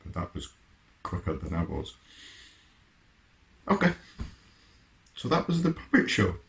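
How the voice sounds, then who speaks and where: A man with a gravelly voice giving a casual, halting, musing reaction and review as host. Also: low-pitched, wildly swooping pitch, a bit bright.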